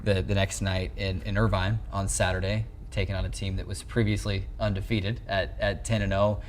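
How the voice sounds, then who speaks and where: A man's voice: low-pitched, little pitch movement, normal speed. A man in his twenties with an American accent, hosting a sports interview on a lapel mic. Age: 20s. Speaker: sports show host interviewing a coach. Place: small indoor locker-room set.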